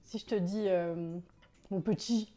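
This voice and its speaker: A lively, talkative woman in her forties, grown up outside Paris, whose Parisian accent has worn soft. Her voice sounds mellow and warm as she chats, jokes and laughs easily through a relaxed interview. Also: on the low side, measured pace, soft background music. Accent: Parisian French, softened. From Paris suburbs, France. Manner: chatty and animated, laughs often. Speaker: guest. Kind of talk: casual interview chat.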